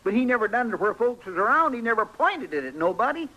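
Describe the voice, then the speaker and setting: An actor playing an excitable, grumbling but loyal frontier deputy with a folksy rural Western drawl in an old-time radio western. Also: male voice, extremely high pitch, quick pace, heavily acted. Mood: indignant. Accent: rural American Western drawl. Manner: folksy, homespun, drawling complaint. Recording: noisy vintage radio broadcast.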